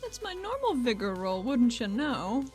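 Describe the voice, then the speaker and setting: A woman's voice: fairly high pitch, big pitch swings, normal speed, a little mellow, soft background music. A woman chatting casually as a player in a tabletop game.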